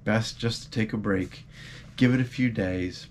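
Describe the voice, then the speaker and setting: A man hosting his running vlog, a bit congested from a cold with a mild cough, giving earnest advice with frequent um and uh pauses. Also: lowish voice, a little hiss or hum. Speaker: running channel host. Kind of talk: casual advice vlog talk.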